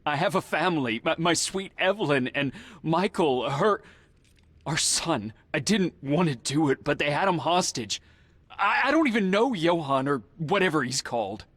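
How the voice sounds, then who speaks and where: A man playing an anxious, guilt-ridden family man in a video game, husky and stammering as he pleads. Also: a little high, expressive, moderate pace, fairly bright tone, no room sound. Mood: nervous. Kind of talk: video game cutscene acting.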